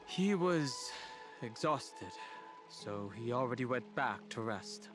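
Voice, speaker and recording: A composed young traveling companion in a video game. He is thoughtful and analytical, speaking in a measured mid-range male voice. Music is clearly audible behind him. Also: medium pitch, lively intonation. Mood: calm. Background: background music.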